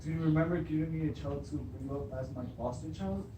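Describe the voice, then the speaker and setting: A man plays a plain-spoken messenger bearing news in a classical tragedy. His slightly high voice comes through an echoey room with clear background noise. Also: a little fast, lightly acted. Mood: explanatory. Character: plain-spoken male messenger, former shepherd, eager with news. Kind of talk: beginning drama class readers theatre. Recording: echoey room, distant mic, clearly noisy.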